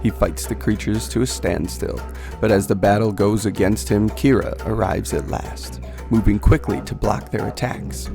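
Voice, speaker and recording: Creaky-voiced man narrating solemn fantasy. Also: on the low side, steady tone, normal speed, music in the background. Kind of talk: dramatic scripted fantasy narration.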